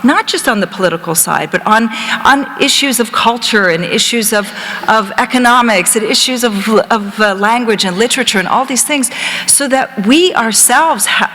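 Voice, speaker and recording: A woman's voice: a little high, sharp tone. Earnest woman panelist in a hall. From Palestinian, living in Palestine. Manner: earnest, emphatic, frequent restarts. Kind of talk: panel discussion remarks. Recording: long hall reverb.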